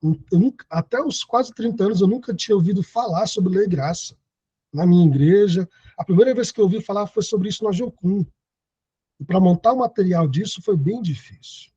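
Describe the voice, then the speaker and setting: A man's voice: high-pitched, brisk, warm tone, slightly rough, no room sound, highs cut off. A man in his thirties teaching an online Bible study lesson, reading scripture aloud and explaining it. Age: 30s. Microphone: video call.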